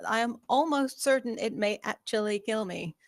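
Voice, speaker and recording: A woman's voice: fairly high pitch, normal speed, no room sound, close to an everyday voice. A woman playing a sardonic, self-assured young office worker at a screenplay table read, with a wry, dry manner.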